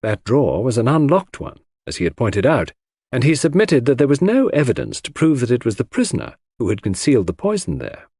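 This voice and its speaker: A man reading classic crime fiction as a scripted audiobook, in formal, measured storytelling. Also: mid-range voice, big pitch swings, darkish tone, husky, no echo, studio quality. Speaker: audiobook narrator.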